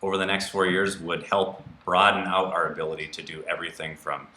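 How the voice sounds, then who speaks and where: Very deep-voiced American man at a forum. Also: level tone, brisk, pushed and bright. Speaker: city council candidate. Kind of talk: candidate forum answer. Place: local TV studio. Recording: roomy studio, faint background noise.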